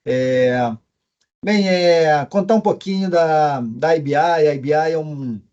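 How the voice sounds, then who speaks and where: A man's voice: a little high, takes it slowly, darkish tone, clear, resonant tone. Carioca-accented man on a dull remote call. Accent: Carioca Portuguese. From Brazil. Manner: hesitant, with frequent fillers. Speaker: company co-founder speaking remotely. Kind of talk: presentation remarks. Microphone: video call.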